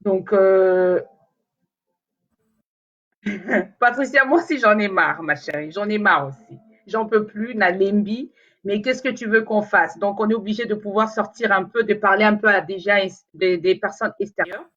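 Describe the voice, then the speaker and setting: A woman's voice: medium pitch, a little slow, fairly bright tone. Lingala-native woman rambling on a live phone stream, lots of euhs and restarts, kinda dull lo-fi sound. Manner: rambling, hesitant, many fillers and restarts. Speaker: vlogger talking to viewers. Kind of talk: casual live chat to camera.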